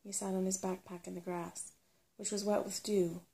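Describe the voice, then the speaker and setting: A woman's voice: mid-range voice, little pitch movement, normal speed, vocal fry, dark tone. A woman reading a children's fantasy novel aloud as ASMR storybook narration. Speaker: storybook reader.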